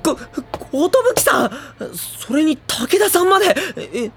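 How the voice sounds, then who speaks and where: Flustered, animated teenage boy. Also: high-pitched, slow, slight background noise, exaggerated voice. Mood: startled. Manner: hesitant, stammering. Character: timid, easily flustered high school boy. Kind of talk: anime-style audio drama acting.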